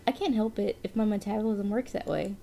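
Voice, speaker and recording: A woman's voice: medium pitch, steady tone, a little fast, vocal fry, mellow, pitched above the performer's own voice, natural voice. A woman voicing a young adult female novelist on a phone call, friendly and a little defensive, a bit sniffly. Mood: reassuring.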